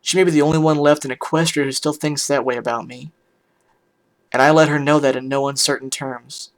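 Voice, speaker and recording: A man voicing a heartbroken, weary pony musician in a hushed, halting, emotional first-person story reading. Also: fairly high pitch, fairly even intonation, lightly acted. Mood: tearful, confused. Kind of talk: dramatic first-person fan fiction reading.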